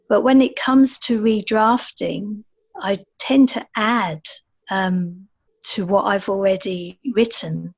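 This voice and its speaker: A woman with a medium-pitched voice talks as an author on a casual panel. She builds her answers gradually, pausing and restarting as she thinks. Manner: thoughtful, halting, pieces answers together gradually. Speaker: podcast guest, author.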